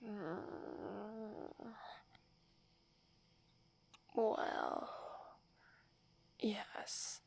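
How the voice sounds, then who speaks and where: A woman's voice: mid-range voice, extremely slow, vocal fry, long pauses, slightly rough, exaggerated voice. A woman from Italy with an Italian accent, whispering as a proud, wary young female werewolf who is prickly and defensive. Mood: grudging. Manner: haughty, grudging, defensive. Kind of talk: ASMR roleplay.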